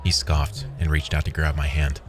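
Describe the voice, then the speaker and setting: Very low male voice, weary wry programmer played by a man, scripted first-person storytelling, music underneath. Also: little pitch movement, normal speed. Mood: wry, reflective. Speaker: narrator. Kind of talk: first-person scripted audio drama narration.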